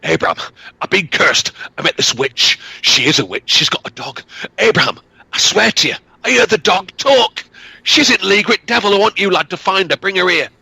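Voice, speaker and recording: A man voicing a cursed peddler from a historical tale, shouting in gruff northern dialect. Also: medium pitch, very animated, quick pace, no room sound, full character voice, low-quality recording. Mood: frantic, accusing. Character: injured middle-aged male peddler, frantic.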